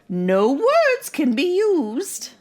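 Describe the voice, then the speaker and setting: A woman playing a bubbly, bossy older grandmother hosting a party, husky and gushing, in old-time radio comedy style. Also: sits high, huge pitch swings, extremely slow, darkish tone, full character voice. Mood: genteel, chatty. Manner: gushing, chatty.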